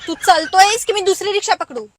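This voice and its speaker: Woman playing a very high-pitched bossy policewoman. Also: fast. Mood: stern. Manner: questioning, assertive. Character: no-nonsense policewoman passenger, questioning and bossy. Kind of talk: comic cartoon dialogue. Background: loud music.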